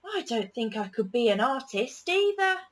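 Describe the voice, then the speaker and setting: A woman voicing an eager, excitable young boy from a children's picture book, expressive and easily discouraged. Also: a little high, normal speed. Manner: exclamatory, expressive.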